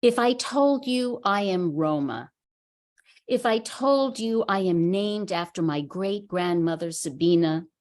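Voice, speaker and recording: Slow, measured woman reading poetry. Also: mid-range voice. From United States. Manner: measured, unhurried recitation. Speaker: poet. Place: reading at a writers center.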